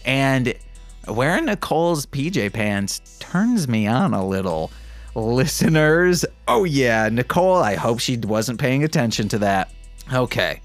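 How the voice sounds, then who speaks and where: A man's voice: mid-range voice, sing-song, measured pace, soft background music. A man hosting a podcast, reading fan fiction aloud in an irreverent way and breaking off into casual, joking asides to listeners. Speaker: podcast host.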